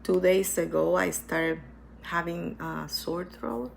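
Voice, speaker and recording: Woman, low and gravelly, stuffy with a cold; unhurried, earnest personal vlog, hesitant delivery. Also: slight background noise. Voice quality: hesitant, with many restarts and repetitions. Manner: earnest, reflective, speaks slowly. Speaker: vlogger sharing her cancer experience. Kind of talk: casual personal talk to camera.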